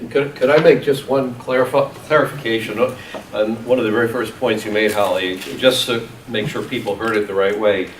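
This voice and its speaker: A man chairing a town committee meeting, speaking in measured phrases with frequent restarts. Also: on the low side, steady tone, slight background noise. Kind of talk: public meeting moderation. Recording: echoey meeting room from far off.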